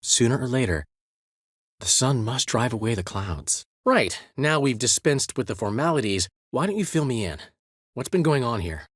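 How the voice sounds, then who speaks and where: A man's voice: mid-range voice, big pitch swings, moderate pace, dry recording, studio quality. An actor voicing a vain, witty male bard in a video game, speaking in flamboyant, florid phrases. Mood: lively. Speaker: game character. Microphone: dry studio recording.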